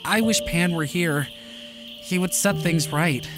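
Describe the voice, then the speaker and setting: A wistful young satyr, acted by a man, rambles earnestly in a bright, forceful voice, with music behind him in an audio drama. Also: a little high, unhurried. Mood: wistful, hopeful.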